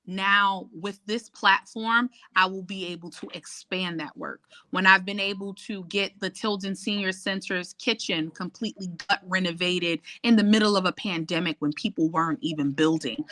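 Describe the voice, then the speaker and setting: A woman's voice: lowish voice, steady tone, moderate pace, pushed and bright, no room sound. A woman running for city council, confidently listing her record and urging people to vote. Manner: assured, persuasive, lists achievements. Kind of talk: campaign pitch on a call-in show.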